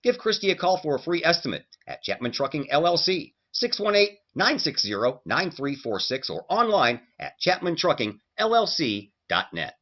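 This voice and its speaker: A man's voice: wildly swooping pitch, normal speed, hardly any pauses, a bit bright. Sing-song male ad voice, dull lo-fi sound. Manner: upbeat sales pitch, sing-song phone number. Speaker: ad announcer. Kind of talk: radio ad read. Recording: dull lo-fi ad recording.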